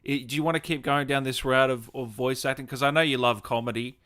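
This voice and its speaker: Guy doing a relaxed livestream interview as host, bright forward voice, mid pitch. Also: fast.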